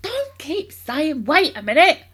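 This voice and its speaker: A woman voicing a wildly excited, bossy father from a children's storybook, ranting about grand schemes in emphatic, impatient exclamations. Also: high voice, slow pace, pitched above the performer's own voice, full character voice. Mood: impatient, urgent.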